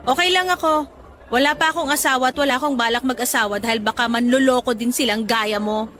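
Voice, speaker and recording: A woman's voice: sits high, fairly even intonation, quick pace, fairly bright tone. An actress playing a bitter, curt middle-aged woman still hurt by her ex in a radio drama. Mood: irritated, scornful. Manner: curt, snapping, sarcastic. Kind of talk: Tagalog radio drama acting. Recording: music bed behind voice.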